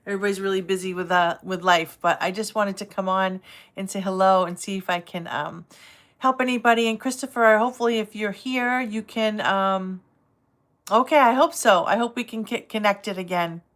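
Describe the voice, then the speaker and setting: A woman's voice: mid-range voice, normal speed, a bit bright. Chatty Boston woman live-streaming. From Massachusetts, south of Boston. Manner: chatty, rambling, friendly, laughs easily. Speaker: livestream host. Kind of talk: casual live-stream chat with viewers.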